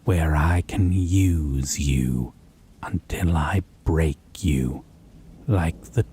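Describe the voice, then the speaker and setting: A predatory, possessive vampire, acted out by a man in an audio roleplay, with a deep, dark voice full of breath and husk. He taunts the listener in extremely slow, drawn-out phrases, slipping into whispers, menacing and domineering. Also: slight background noise, exaggerated voice. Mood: threatening, angry. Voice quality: husky, breathy, low.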